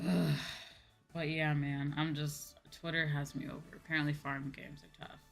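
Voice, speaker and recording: A woman's voice: sits low, flat delivery, moderate pace, faint music behind. A woman streamer chatting casually to her livestream, with an exasperated, venting manner and some casual swearing. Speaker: VTuber streamer, host.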